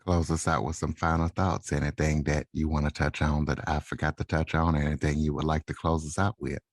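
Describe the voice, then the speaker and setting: A man with an extremely deep voice hosts a podcast interview. His intonation is flat and even as he puts questions to a guest. The recording is dry and close, free of echo. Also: fairly quick. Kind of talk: interview hosting.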